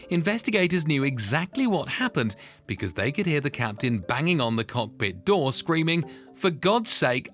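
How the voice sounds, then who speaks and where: Guy doing a dramatic explainer voiceover, really animated, punchy emphasis, music behind him. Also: medium pitch. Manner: emphatic, suspense-building delivery. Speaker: narrator of an explainer video. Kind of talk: dramatic scripted explainer narration.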